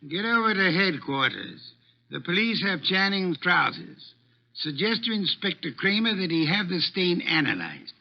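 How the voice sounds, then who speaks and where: A pompous, irritable genius detective in vintage radio drama. His voice is fairly high for a man and gravelly, and his wording is grand and exclamatory. The old recording is dull. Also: sing-song, normal speed, full character voice. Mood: imperious. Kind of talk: 1940s-50s radio drama acting.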